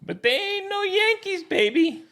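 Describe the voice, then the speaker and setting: A man with a lively, swooping delivery and a gravelly edge to his voice. He talks as a podcast co-host in casual sports banter. His manner is blunt and slangy, with a teasing streak. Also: extremely high pitch, a little slow.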